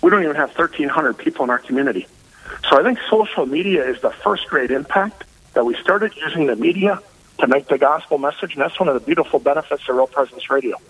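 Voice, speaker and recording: Energetic male pastor, big loud voice, higher pitch, radio call sound. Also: quick pace, slightly rough, low-quality recording. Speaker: parish priest. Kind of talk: radio interview by phone.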